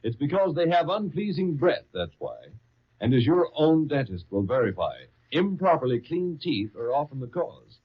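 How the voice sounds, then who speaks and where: A man's voice: fairly high pitch, very animated, normal speed, breathy edge. A man reading as an old-time radio announcer, with an emphatic, persuasive salesman's delivery. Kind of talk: 1930s radio advertising read. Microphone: studio broadcast microphone. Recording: muffled, lo-fi 1939 radio broadcast.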